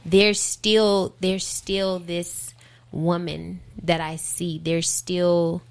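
An American woman with a slightly low voice talks in a casual interview. She is informal and reflective, working out her answers aloud as she goes. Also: little pitch movement, extremely slow, smooth. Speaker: interview guest, singer and rapper.

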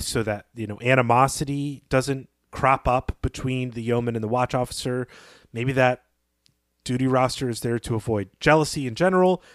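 A man's voice: mid-range voice, no echo. Analytical male podcast co-host, very dry sound. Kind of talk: conversational literary analysis.

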